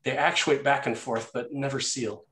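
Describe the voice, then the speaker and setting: Guy narrating a screenplay, mid pitched voice, echoey room. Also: fairly even intonation, moderate pace, fairly bright tone, slight background noise. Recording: roomy, echoey sound.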